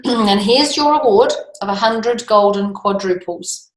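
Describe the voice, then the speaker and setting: A gruff storybook king, acted out by a woman reader, blustery and demanding. He speaks with impatient command, and the room echoes clearly around him. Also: mid-range voice, unhurried, natural voice.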